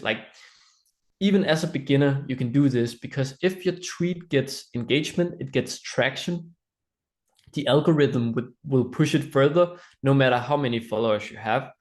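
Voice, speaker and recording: A man's voice: mid-range voice, normal speed, a little mellow. A man with a Danish accent presents a live online training in an informal, explanatory way, often checking in with listeners. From Denmark.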